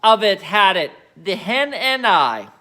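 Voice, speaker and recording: A man voicing a loud, gleeful, boastful old grandmother who shouts and cackles in a storybook reading. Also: high-pitched, big pitch swings, takes it slowly, full character voice. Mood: gleeful boasting.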